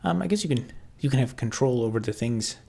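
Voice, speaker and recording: Gravelly Canadian man musing on a livestream. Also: mid-range voice, animated, darkish tone. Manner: halting, thinking aloud, frequent restarts. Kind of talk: casual livestream chat, answering viewer comments.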